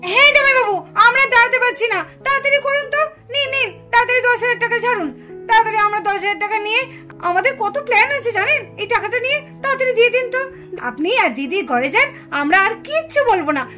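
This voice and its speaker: A woman's voice: very high-pitched, expressive, quick pace, pushed and bright, exaggerated voice. A woman playing a stubborn, teasing young woman in a puppet show, with insistent, emphatic delivery. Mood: impatient, pushy. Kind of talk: animated puppet-show dialogue. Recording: music behind the voice. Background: music.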